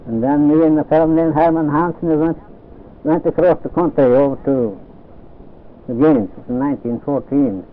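Elderly man, seventies, plain halting reminiscer; thin phone-like old tape, light hiss. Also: on the high side. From Norway. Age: 70s. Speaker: interviewee, old-time pioneer. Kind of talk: oral history interview.

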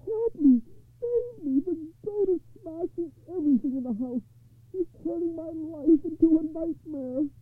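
Shrill, overwrought poor village man. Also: animated, a little slow. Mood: wailing. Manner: plaintive, overwrought, exclamatory. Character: desperate poor village man, wailing and pleading. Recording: thin phone-like sound.

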